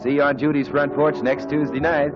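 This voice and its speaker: A middle-aged man announcing a 1940s radio show and reading a commercial in a smooth, persuasive salesman style. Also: fairly high pitch, flat delivery, fairly quick. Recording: muffled 1940s radio broadcast with music.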